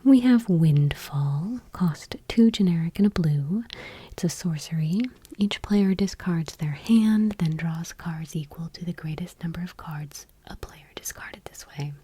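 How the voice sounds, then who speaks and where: Woman doing asmr, super breathy whispery soft reading, mellow and kinda low. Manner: hushed, gentle, unhurried delivery. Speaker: ASMR video host.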